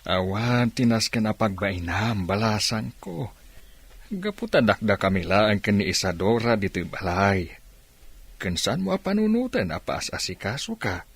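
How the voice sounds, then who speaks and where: An actor playing a kindly older gentleman in an Ilocano radio drama, speaking with an Ilocano accent. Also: fairly low pitch, sing-song, a little slow, slightly rough, no room sound, exaggerated voice. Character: kindly older gentleman taking in a needy young woman.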